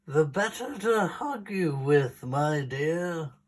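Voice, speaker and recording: A woman voicing a big, hungry, sly male wolf from a storybook, with a deep, scratchy, growling voice and a slow, menacing delivery. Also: expressive, darkish tone, full character voice. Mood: croaking, falsely sweet.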